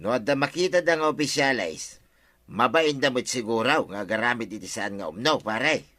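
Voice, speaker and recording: Bright, forceful village buddy. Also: male voice, medium pitch, lively intonation, moderate pace, no room sound. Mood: chatty. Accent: Ilocano. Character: captain's nosy, questioning male buddy. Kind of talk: broadcast comedy drama acting in Ilocano.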